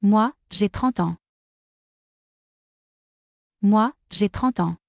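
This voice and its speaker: A woman slowly and carefully saying short drill phrases twice each for learners to repeat. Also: mid-range voice, creaky, darkish tone, no room sound, studio quality. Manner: careful, deliberate enunciation. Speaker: pronunciation model.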